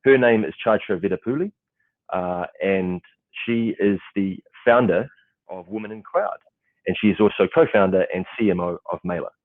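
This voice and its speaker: A man's voice: low voice, moderate pace, no echo, narrow, tinny audio. A New Zealand man in his forties, moderating an online panel in a loose, chatty way with lots of "uh" fillers. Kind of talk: casual online panel hosting. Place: client's office in Seattle, crew setting up.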